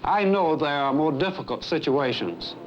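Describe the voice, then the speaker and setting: An American man in his fifties giving a formal, scripted address as an airline executive, emphatic, earnest and deliberate. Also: a little high, lively intonation. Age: 50s. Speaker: airline executive presenting a staff training film. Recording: dull, noisy 1970s film soundtrack.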